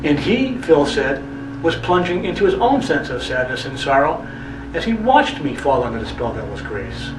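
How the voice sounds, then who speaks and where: A man delivers a brooding, theatrical literary monologue as a storyteller, with deliberate, repetitive phrasing. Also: fairly high pitch, big pitch swings. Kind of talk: dramatic literary monologue narration. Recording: echoey, distant mic, music underneath. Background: music.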